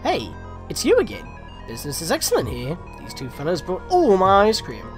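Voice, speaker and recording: A woman's voice: lowish voice, wildly swooping pitch, fairly quick, music in the background. A woman voicing a friendly, upbeat male ice cream seller creature in a game. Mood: cheerful.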